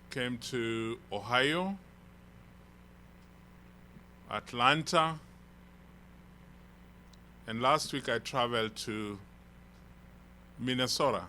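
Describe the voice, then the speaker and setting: Kenyan male church elder, unhurried storyteller, bright clear tone. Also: medium pitch, a little hiss or hum. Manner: slow, rambling storytelling with restarts. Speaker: visiting elder preacher. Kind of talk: informal sermon introduction. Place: church hall.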